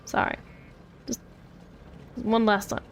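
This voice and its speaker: A woman streamer giving casual game commentary in a playful, pleading and apologetic way. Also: takes it slowly. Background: game music.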